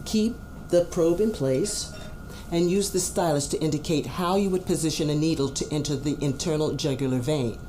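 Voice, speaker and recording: A woman's voice: low-pitched, moderate pace, dark tone, slight background noise, natural voice. A woman playing a formal, courteous doctor giving instructions as an examiner. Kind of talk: scripted exam demonstration.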